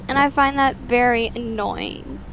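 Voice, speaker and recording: Woman as a high, slow, sick teenage schoolgirl. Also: steady tone, fairly bright tone. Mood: annoyed, weary. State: feverish, coughing. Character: sick, weary fifteen-year-old schoolgirl, mildly sulky. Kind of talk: anime fan audio drama. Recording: clearly noisy background.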